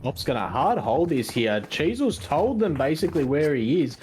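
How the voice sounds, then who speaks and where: A man's voice: a little high, big pitch swings, moderate pace, a little mellow, a little hiss or hum. A man casting esports, exclaiming at plays and then breaking them down. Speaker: esports color caster. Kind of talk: excited esports commentary.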